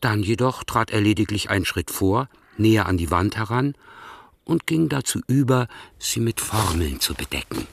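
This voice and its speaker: Deep gravelly male narrator. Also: expressive, normal speed. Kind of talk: scripted narration for radio drama.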